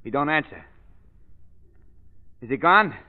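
A man playing a nervous, slow-witted henchman in 1940s radio drama, with blunt, uneducated tough-guy speech. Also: a little high, expressive, phone-quality sound. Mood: jittery, alarmed. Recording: thin, band-limited vintage radio recording.

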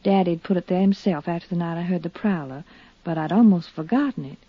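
A woman's voice: fairly low pitch, a little fast, husky, no room sound. An American actress playing a brave, shaken young woman in a 1950s radio drama, speaking earnestly and dramatically. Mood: frightened, distraught. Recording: dull, dry vintage radio recording.

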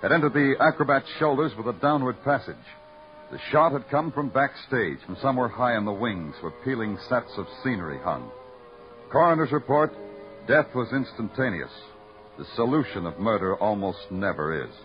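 A world-weary noir police lieutenant, voiced by a man, narrating in a terse, wry tone with lively swings in pitch. Music plays clearly behind him, and the audio has the dull, muffled sound of vintage radio. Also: moderate pace, natural voice. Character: hardboiled male police lieutenant narrating his case. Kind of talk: hardboiled first-person radio narration.

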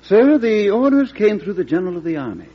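A careful, deferential army officer in old-time radio drama. The voice is fairly high and husky, with precise, lively inflection. The sound is lo-fi. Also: male voice, a little fast, full character voice. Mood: deferential, formal. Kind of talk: classic radio drama acting. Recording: dull old radio broadcast.

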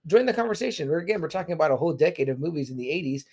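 A man's voice: a little high, animated, very fast, a little mellow. A man in his thirties hosting a casual podcast, rambling and often adding "you know what I mean.". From South Texas. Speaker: podcast host. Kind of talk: casual chat.

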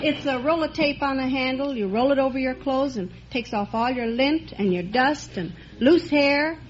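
A noisy, muffled vintage radio recording of a middle-aged woman. She has a distinctly high voice and answers conversationally as a quiz contestant being interviewed. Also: normal speed.

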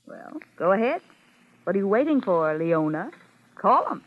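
A woman's voice: medium pitch, very animated, moderate pace. A woman playing a guarded, nervy young impostor hiding a crime, with tense control, in an old-time radio drama. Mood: challenging, alarmed. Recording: muffled old radio recording.

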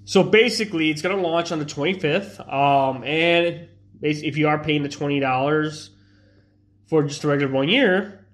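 A man's voice: fairly high pitch, normal speed, fairly bright tone. Congested young man rambling casually. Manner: rambling, loose, with many fillers and restarts. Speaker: streamer. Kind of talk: casual live-stream chat.

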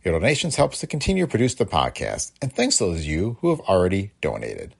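A man's voice: sits low, wildly swooping pitch, fast talker, gravelly, husky. A man reading a scripted podcast introduction as its host. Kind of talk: scripted narration.

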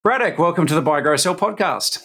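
A man's voice: on the high side, animated, fairly quick, fairly bright tone. A man hosting a podcast interview, talking casually with fillers and restarts. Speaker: podcast host. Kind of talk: conversational interview.

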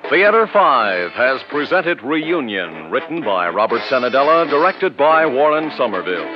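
An American man announcing closing credits in a formal, deliberate mid-century radio style. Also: mid-range voice, big pitch swings. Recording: dull old radio broadcast. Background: orchestral theme music.

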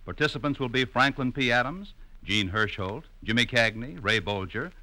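A man's voice: medium pitch, moderate pace, bright, forceful tone, no room sound, rough recording. A man announcing in the formal, projected style of 1950s network radio promotion.

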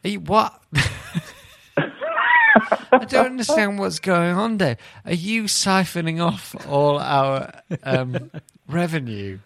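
A man's voice: high-pitched, wildly swooping pitch, takes it slowly, creaky, fairly bright tone, no echo, clean studio sound. A man hosting a podcast in casual comic banter, teasing his co-hosts with mock bafflement. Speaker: podcast host.

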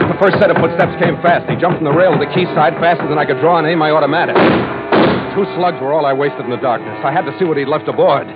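Actor as a tough American skipper, tinny old radio. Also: male voice, a little high, close to an everyday voice. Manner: terse, wry, matter-of-fact. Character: tough, wry hard-boiled male ship's skipper, narrator. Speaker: lead actor and narrator of radio drama. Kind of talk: 1940s-50s hard-boiled radio narration and acting. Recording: tinny vintage radio recording, loud music.

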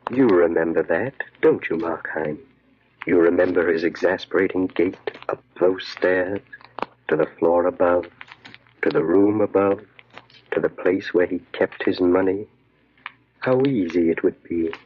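An actor in a 1940s style radio horror play, voicing a sinister inner voice that insistently and knowingly tempts toward crime. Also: male voice, low voice, husky. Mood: sinister, taunting. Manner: insistent, insinuating, repeats phrases slowly and knowingly. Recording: dull, lo-fi old radio recording.

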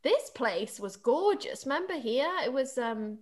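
A woman with a British accent co-hosting a casual podcast chat, chatty and quick to laugh. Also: high voice, sing-song, darkish tone. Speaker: actor and podcast co-host.

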